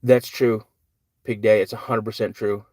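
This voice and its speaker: A man's voice: on the low side, level tone, moderate pace, darkish tone, no echo. An older man hosting a live podcast, reading and answering chat questions in a rambling, earnest, self-correcting way. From United States. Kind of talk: casual live-stream chat.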